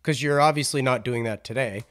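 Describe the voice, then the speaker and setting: A man's voice: medium pitch, fairly quick, fairly bright tone. A man hosting a podcast in a casual, easygoing, friendly interview style. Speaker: podcast host.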